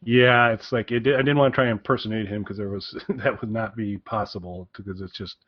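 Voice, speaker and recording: A man's voice: lowish voice, steady tone, a little fast, no room sound. Hesitant man, slightly dull dry audio. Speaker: podcast co-host. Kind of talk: casual podcast chat with recommendations.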